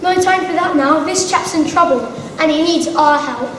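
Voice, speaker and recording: A young girl playing a brisk, self-assured, eccentric doctor in a school play. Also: high voice, lightly acted. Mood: brisk, bossy. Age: child or young pupil. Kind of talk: scripted school assembly acting. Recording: echoey school hall, distant mic, noisy.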